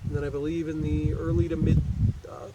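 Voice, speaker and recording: High-pitched American man teaching a read-aloud. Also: little pitch movement, a little fast, dull audio, heavy background noise. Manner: instructive, asks questions and checks understanding. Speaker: teacher reading aloud to pupils. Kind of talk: educational read-aloud with explanatory asides.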